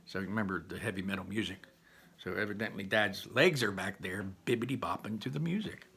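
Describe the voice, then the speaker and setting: A man reading a storybook aloud in an animated manner, stepping out for chatty explanations to his listeners. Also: lowish voice, fairly quick, husky.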